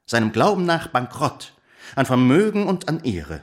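An actor playing a pompous, righteously indignant gentleman clerk, declaiming floridly in a theatrical radio play. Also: mid-range voice, wildly swooping pitch, normal speed. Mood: declamatory, accusing.

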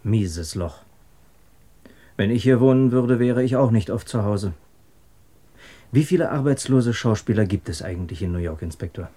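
A man's voice: on the low side, animated, moderate pace, long pauses, warm tone, no echo. An actor playing a keen, methodical male police detective reporting to his superior in a German radio crime drama. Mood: disdainful.

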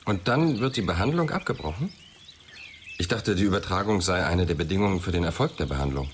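A man's voice: sits low, expressive, brisk, slight background noise. An actor playing a persistent, sceptical male police inspector who questions tersely in a radio crime drama. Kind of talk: German radio drama dialogue.

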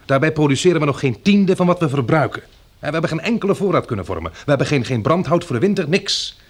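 An actor playing a brisk, purposeful husband in a Dutch radio play. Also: male voice, medium pitch, breathy edge. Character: brisk, jovial but worried husband Bill.

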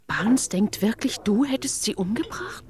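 An actress in a German radio drama playing a loyal, loving wife, speaking intimately and expressively, incredulous and protective. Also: female voice, medium pitch, lively intonation, unhurried, darkish tone, close to an everyday voice. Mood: scornful, tender.

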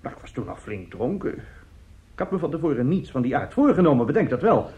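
An actor in a radio drama playing a middle-aged, self-justifying seducer and family friend, speaking haltingly and emphatically. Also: male voice, on the high side, big pitch swings, darkish tone. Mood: uneasy confession. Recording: dull, muffled radio play recording.

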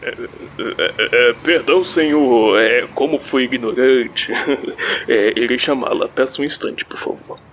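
A man's voice: mid-range voice, measured pace, close to an everyday voice. Formal, jealous middle-aged patriarch played by a man, comic radio melodrama, lo-fi with faint hiss. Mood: flustered, apologetic. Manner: formal, pompous. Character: Hector Guadalupe, stern jealous traditional father, middle-aged man. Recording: dull lo-fi audio, faint noise.

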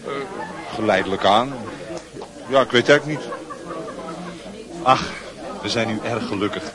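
A man's voice: on the low side, moderate pace, lightly acted, poor audio. Man playing a chummy, boastful young lad in a crowd. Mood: confiding, smug. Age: young adult. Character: brash, chummy young male school leaver, boastful schemer. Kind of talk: radio drama acting. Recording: busy crowd noise behind. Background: crowd chatter.

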